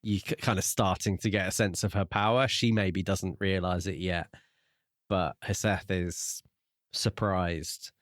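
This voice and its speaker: A man's voice: deep voice, steady tone, measured pace, dry recording, studio quality. A man co-hosting a podcast and talking casually about a book. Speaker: podcast co-host.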